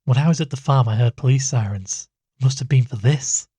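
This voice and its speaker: An anxious amateur investigator in a horror audio drama played by a male actor, earnest and hesitant. His voice is husky and recorded dry and close, with a worried edge. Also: mid-range voice, a little fast, warm tone, dry recording, natural voice. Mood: uneasy, questioning. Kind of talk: audio drama dialogue and first-person narration.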